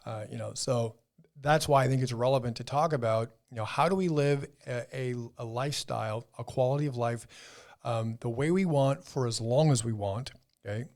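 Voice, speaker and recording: A man's voice: medium pitch, moderate pace, a little mellow. A man in his fifties co-hosting a health podcast as a doctor, chatting casually and explaining in a rambling, hedging way. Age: 50s. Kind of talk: casual conversational health discussion.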